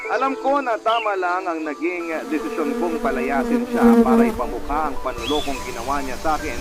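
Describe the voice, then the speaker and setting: Loud music runs under an actor's portrayal of a forgiving, lovelorn man. His voice sits a little high for a man. He tells his heartbreak in the first person, reflective and sentimental, as in a radio drama. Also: lightly acted.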